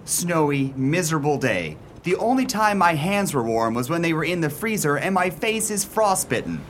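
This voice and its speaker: A man's voice: high voice, fairly bright tone. Man as young trainee, sarcastic, exasperated, put-upon, radio drama, faint background noise. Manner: dry, exasperated complaining. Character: miserable, sarcastic young college man, new ice cream seller. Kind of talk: radio play acting.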